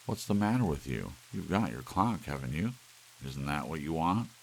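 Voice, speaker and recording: A man's voice: very deep voice, animated, normal speed, gravelly, slight background noise, heavily acted. A man reading an audiobook, voicing a scornful, impatient husband who complains bluntly. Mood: irritated. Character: Larry, scowling grudging husband, scornful and impatient.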